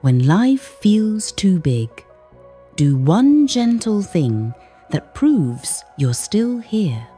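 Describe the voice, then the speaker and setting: A woman softly narrating a scripted bedtime meditation in a gentle, soothing, hushed way, in short measured phrases. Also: medium pitch, big pitch swings, breathy edge, faint music behind. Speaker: sleep podcast narrator.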